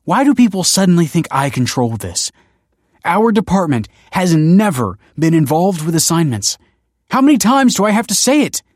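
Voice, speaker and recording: A man's voice: lowish voice, normal speed, husky, no echo, clean studio sound. A man voicing a firm, tense married woman in an audiobook, insistent and emphatic. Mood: exasperated. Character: firm, tense wife, a fertility director.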